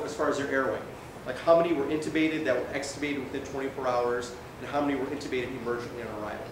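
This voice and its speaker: Man lecturing in a very echoey room. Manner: conversational, often checking in with "right?" and "okay?". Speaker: emergency physician lecturing. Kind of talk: informal medical lecture with anecdotes. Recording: very echoey room, distant mic, noisy rumble.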